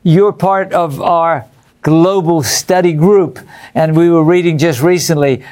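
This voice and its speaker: A man's voice: on the high side, steady tone, measured pace, darkish tone. A man hosting a religious study program, reading out viewer letters and putting questions to his group and viewers.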